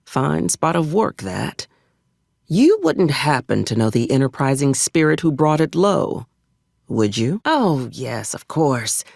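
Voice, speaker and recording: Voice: medium pitch, sing-song, slow pace, creaky, darkish tone, no echo, clean studio sound, full character voice. An actor playing a stern, pragmatic military officer in a video game, wry and coolly menacing, with clipped, formal frontier phrasing. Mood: dry, wry. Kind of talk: scripted video game dialogue.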